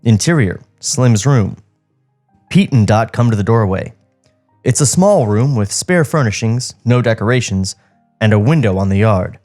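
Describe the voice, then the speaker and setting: A man's voice: on the low side, lively intonation, moderate pace, darkish tone, faint music behind. A man co-hosting a podcast, narrating script stage directions and dropping casual joking asides, on a studio microphone.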